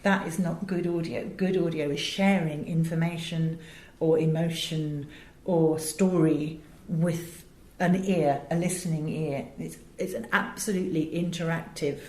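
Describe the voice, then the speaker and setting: A British woman speaks in a fairly low register, recorded in a room with clear echo. She is an interview guest who tells stories conversationally. Her delivery is articulate and emphatic as she explains things. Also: fairly even intonation, a little slow, a little mellow. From England. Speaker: interview guest, audio director and producer. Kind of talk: animated conversational storytelling in an interview. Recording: roomy, echoing interview sound.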